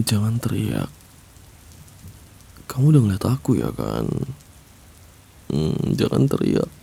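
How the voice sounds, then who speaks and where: A man voicing an obsessive young man who secretly haunts a listener's bedroom, speaking softly in a hushed, cooing way with low chuckles in an ASMR roleplay. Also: gravelly, a little hiss or hum, exaggerated voice.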